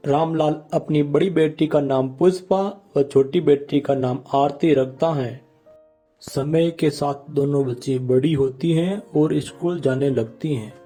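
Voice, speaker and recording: Slow, mellow male storyteller over music. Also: fairly high pitch. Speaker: story narrator. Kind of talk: scripted moral story narration in simple language. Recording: music bed under the voice.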